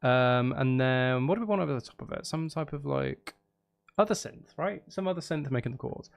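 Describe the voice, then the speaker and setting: A man's voice: medium pitch, fairly quick, creaky, dry recording. A man streaming casually, thinking aloud and repeating phrases to himself as he works. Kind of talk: casual live-stream commentary while making music. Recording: loud music behind the voice. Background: music being composed, guitar and instruments.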